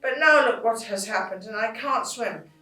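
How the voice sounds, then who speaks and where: Woman playing a tiny pleading bunny, high squeaky voice, stammering, storybook, distant roomy mic. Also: normal speed, pushed and bright, faint music behind. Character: little female bunny, stranded, proud then pleading.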